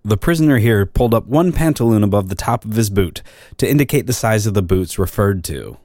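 A man's voice: on the low side, animated, a little fast, a little mellow, dry recording, studio quality. A man playing a court recorder, narrating notes on the proceedings in a formal, matter-of-fact way. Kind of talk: scripted narration in a dramatic reading.

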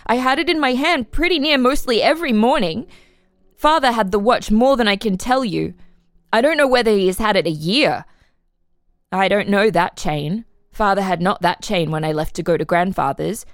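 A woman's voice: medium pitch, no echo, clean studio sound, lightly acted. A woman voicing an earnest ten-year-old farm boy giving simple, plain-spoken testimony in a dramatic reading. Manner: simple, plain-spoken, answering questions literally.

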